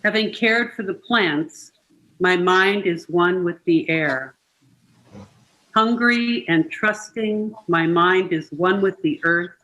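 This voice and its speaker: A reader delivers poems aloud at a very slow pace, in a mid-pitched voice. Each short line is set apart and given time. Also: pushed and bright, dull audio. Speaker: participant reading poetry aloud. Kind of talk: unhurried poetry reading.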